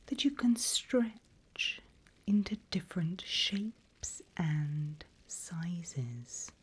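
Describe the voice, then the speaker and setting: A woman plays a seasoned female demon guiding a pupil in an ASMR roleplay. Her voice is a very breathy, low whisper, unhurried and deliberate. She is vain, teasing and darkly playful. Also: full character voice. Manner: slow, teasing, deliberate, hushed. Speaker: demon guide training a newcomer.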